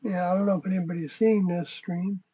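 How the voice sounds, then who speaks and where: Mellow, husky voice on a muffled livestream. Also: medium pitch, steady tone, a little fast. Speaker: livestream host. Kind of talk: casual livestream chat. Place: inside an RV. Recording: muffled, dull livestream audio.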